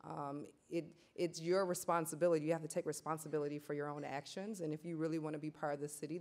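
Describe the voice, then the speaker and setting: A woman entrepreneur from Detroit speaking on a panel in a steady, earnest way. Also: sits low. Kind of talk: panel discussion.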